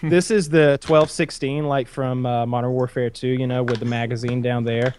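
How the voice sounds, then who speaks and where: A man's voice: medium pitch, fairly even intonation, moderate pace, a little mellow, a little hiss or hum. A man in his thirties in casual chat, rambling with frequent restarts and swearing. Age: 30s.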